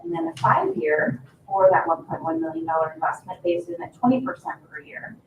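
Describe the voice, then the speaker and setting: An American woman, an economic development official, haltingly presenting figures at a formal meeting. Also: lowish voice, very animated, narrow, tinny audio. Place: indoor town council meeting room. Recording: echoey meeting room, distant mic, faint noise.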